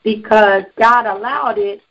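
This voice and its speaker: A woman's voice: medium pitch, slow pace, a bit bright, muffled recording. A woman in her twenties, a gospel singer interviewed on radio, speaking earnestly about faith and ministry. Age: 20s. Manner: earnest, testifying.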